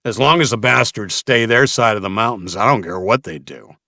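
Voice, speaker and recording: A man's voice: lowish voice, a little fast, sharp tone, dry recording, studio quality, voice pushed up. A man voicing a curt, callous leader of a slaver crew in an audiobook, blunt and dismissive. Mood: callous, commanding.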